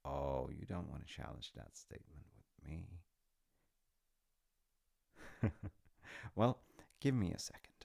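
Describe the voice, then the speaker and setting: A man playing a warm, gently teasing dad in an intimate audio roleplay, speaking thoughtfully and reassuringly with a gravelly voice. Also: a bass voice, big pitch swings, moderate pace, exaggerated voice. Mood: playful, teasing. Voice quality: gravelly, creaky. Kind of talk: intimate audio roleplay, slice-of-life acting.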